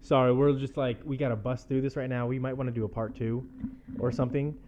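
A man's voice: medium pitch, little pitch movement, fast talker, muffled recording, noisy background. An American man hosting a casual interview, playful and joking, cutting in eagerly. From United States. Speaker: podcast host.

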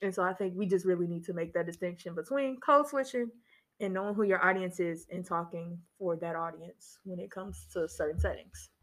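A woman's voice: on the low side, a little fast, a bit bright. A young woman talks in a casual group discussion, conversational and emphatic, as if sharing anecdotes. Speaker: panel participant.

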